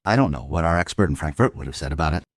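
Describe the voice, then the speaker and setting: A man playing a world-weary police detective, narrating a crime audio drama in a measured, musing way. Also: extremely low pitch, animated, breathy edge, no room sound, clean studio sound, heavily acted. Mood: reflective. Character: world-weary male police detective telling his case.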